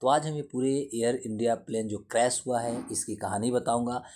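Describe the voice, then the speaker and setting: Male current affairs teacher, soft-edged mellow voice, mid pitch, didactic explainer who restates points. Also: fairly even intonation, moderate pace. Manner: didactic, repeats and rephrases points. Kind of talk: explanatory lesson to camera.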